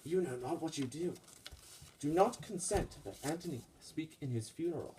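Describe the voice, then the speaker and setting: A man's voice: fairly high pitch, lively intonation, moderate pace, warm tone, a little hiss or hum, lightly acted, low-quality recording. A man playing a wary, mistrustful Roman conspirator in a puppet-show Shakespeare, speaking tersely and suspiciously in verse.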